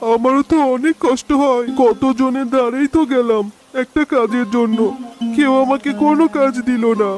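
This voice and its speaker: Guy doing poor worried cartoon village dad, very high voice, reassuring, music behind. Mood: weary distress. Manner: earnest, reassuring. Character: poor, troubled village father, devoted and consoling. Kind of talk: cartoon dialogue.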